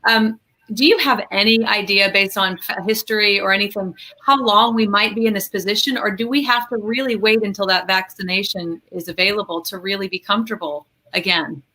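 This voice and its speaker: A woman's voice: mid-range voice, fairly even intonation, fairly quick, bright, forceful tone, low-quality recording. A woman guest asking polite, hesitant questions in casual chat. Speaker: guest singer.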